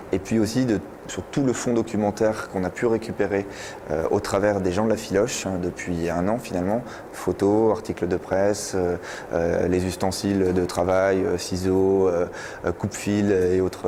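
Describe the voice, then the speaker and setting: A man with a lowish voice explains his project off the cuff. He hesitates often as he looks for words, and ambient noise is clearly audible. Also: mellow. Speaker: project coordinator. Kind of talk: unscripted explanatory talk. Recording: noticeable ambient background noise.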